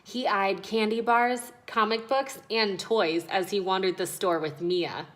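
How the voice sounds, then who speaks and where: A woman reading a children's story aloud in an expressive, deliberate, instructional way. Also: medium pitch. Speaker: read-aloud narrator.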